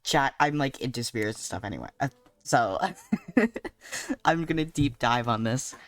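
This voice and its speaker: A woman's voice: very deep voice, animated, normal speed, soft background music. A woman streaming a game, chatting to her audience in a rambling, slangy, giggly way as she reacts. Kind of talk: casual live reaction commentary to chat.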